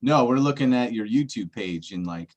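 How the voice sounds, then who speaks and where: A man talks through a puzzle in a casual livestream chat, laying out each clue step by step and checking for agreement. His voice sits at an ordinary middle pitch, and now and then he slips in a self-deprecating joke. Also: expressive, normal speed, lo-fi sound. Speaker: treasure-hunt searcher presenting clues.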